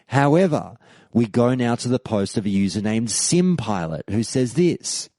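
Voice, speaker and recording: A man hosts a podcast in a slow, deliberate delivery with a lively, rising and falling pitch. His voice sounds dry and close, with no room around it. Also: no echo. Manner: measured, reads forum posts aloud. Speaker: podcast host. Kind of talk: scripted podcast commentary.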